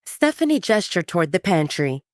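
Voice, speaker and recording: Woman narrating an audio drama story, really animated intonation, dry studio-like sound. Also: fairly low pitch, normal speed, no room sound.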